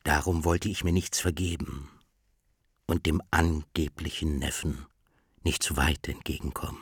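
A gruff old patriarch for radio drama, with a very low, husky voice. He is wary and guarded, speaks very slowly, and is recorded close and dry. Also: fairly even intonation, no echo, exaggerated voice. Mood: wary, grudging. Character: gruff, suspicious grey-bearded old uncle, wary patriarch. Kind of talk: literary radio drama acting.

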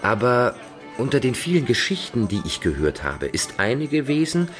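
A man's voice: mid-range voice, big pitch swings, moderate pace, a bit bright, soft background music. An actor playing an eager young Frenchman versed in old legends, in a radio drama. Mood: earnest, insistent. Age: young adult.

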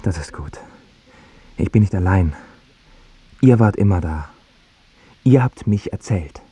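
Husky, low-voiced confused dying man. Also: expressive, dark tone, no room sound, full character voice. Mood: reflective, resigned. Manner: halting, stubbornly repetitive. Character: Bruno, confused dying man with failing memory, defiant. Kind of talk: German radio drama acting.

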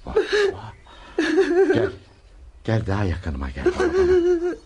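An actor playing a gentle, pious spiritual teacher in a radio play, speaking in solemn, fatherly, measured phrases. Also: very high-pitched, huge pitch swings, muffled recording, exaggerated voice. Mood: tender, solemn. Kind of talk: radio theatre acting. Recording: noisy radio play recording.

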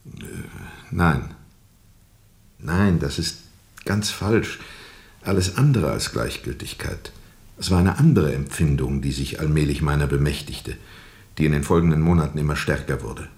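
An actor playing a brooding steamboat captain in a radio play, telling his story in a reflective, deliberate, formal and disillusioned way. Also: extremely low pitch, animated, a little mellow, exaggerated voice. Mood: troubled, introspective. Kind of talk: radio play narration, literary monologue.

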